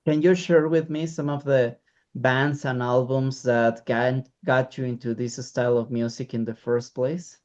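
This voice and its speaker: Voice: medium pitch, fairly even intonation, a little slow, darkish tone. An interviewer asking a musician questions, chatty and adding opinions of their own. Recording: dull lo-fi audio.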